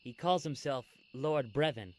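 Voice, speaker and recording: A man's voice: mid-range voice, unhurried, lightly acted. A man voicing an earnest, resolute young alien soldier in a video game, serious and emphatic. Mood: grave warning. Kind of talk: video game cutscene acting.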